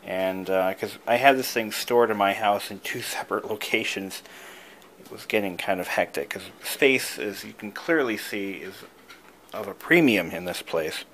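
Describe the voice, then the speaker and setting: A man giving a casual, unscripted show-and-tell as a hobbyist, thinking aloud in a musing, halting way. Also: lowish voice, a bit bright, slight background noise. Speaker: hobbyist showing an old device he is restoring.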